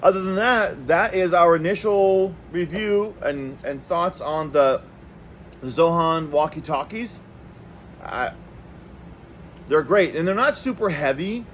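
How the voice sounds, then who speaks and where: A man's voice: a little high, animated, slow pace, a bit bright, a little hiss or hum. A man hosting a casual, unscripted product review, talking conversationally and thinking aloud as he goes. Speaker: product reviewer, video host.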